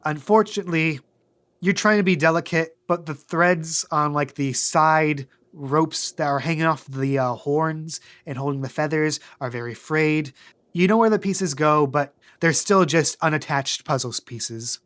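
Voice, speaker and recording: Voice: medium pitch, measured pace, a bit bright. A game master narrating and refereeing a tabletop role-playing podcast.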